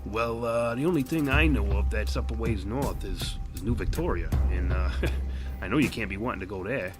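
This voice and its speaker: A man's voice: lowish voice, normal speed, over music, pitched above the performer's own voice, close to an everyday voice. A man voicing a shifty, nervous killer who poses as a chummy bus driver, hesitant and folksy in an audio horror drama. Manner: hesitant, folksy, filler-laden, nervous chuckles. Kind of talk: audio horror fiction character voice.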